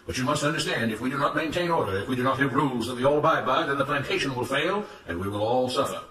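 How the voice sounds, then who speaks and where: Guy doing a preachy smooth-talking plantation boss giving a big speech, pitched up high, storybook style, roomy sound. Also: lively intonation, fast talker, far from the mic, a loud crowd, close to an everyday voice. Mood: stern. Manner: declamatory, oratorical, emphatic. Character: smooth-talking, self-righteous plantation overseer making rousing speeches. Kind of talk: dramatised storybook narration.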